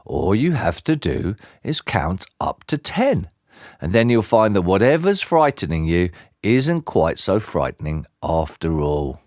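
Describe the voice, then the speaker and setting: A storyteller playing a kindly male tramp from a children's book, speaking gently and reassuringly. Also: sits low, very animated, unhurried, no echo, clean studio sound.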